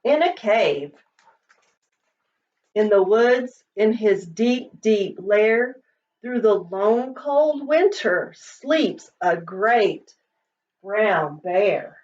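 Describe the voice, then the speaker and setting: A woman reading a children's picture book aloud in an expressive, sing-song storytelling manner. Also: medium pitch, very slow. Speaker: storybook reader. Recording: slightly dull, distant microphone.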